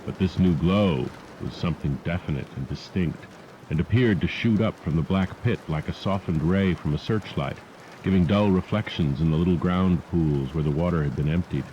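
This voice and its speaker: A man reading a horror audiobook as a narrator, in a measured, solemn, literary delivery. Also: low-pitched, warm tone. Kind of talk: scripted horror story narration. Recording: muffled degraded cassette tape with rain noise. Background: rain and storm sounds.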